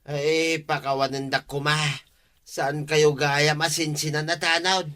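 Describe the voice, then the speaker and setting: A man acts out a sheepish small-time village thief in a comedy radio drama. The voice is very bright and sharp, slightly high for a man, with an Ilocano accent and stammered, exclaiming excuses. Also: little pitch movement, measured pace. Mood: apologetic. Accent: Filipino, Ilocano.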